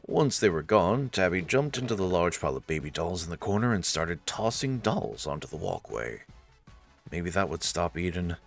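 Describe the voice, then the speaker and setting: A man's voice: deep voice, very animated, moderate pace, vocal fry. A man narrating a visual novel in a storytelling style. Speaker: narrator and let's-play reader. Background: faint music.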